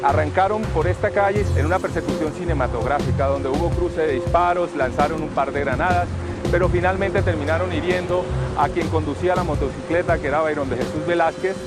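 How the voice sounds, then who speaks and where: A man giving a measured, factual, documentary-style account. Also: on the high side, loud music behind the voice.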